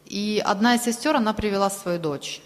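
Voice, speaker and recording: A woman interpreting a sermon aloud, delivering it phrase by phrase in a halting way. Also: medium pitch. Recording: long reverberant hall sound.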